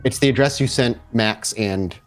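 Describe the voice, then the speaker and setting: A man working as game master, improvising narration casually and haltingly as he thinks aloud. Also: mid-range voice, soft background music.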